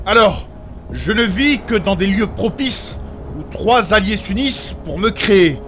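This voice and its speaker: A man acts as a courteous, fussy and learned adventurer in a comic fantasy audio drama. His voice is high for a man, and his diction is formal, precious and old-fashioned. Faint music runs underneath. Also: animated, measured pace, a bit bright. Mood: solemn.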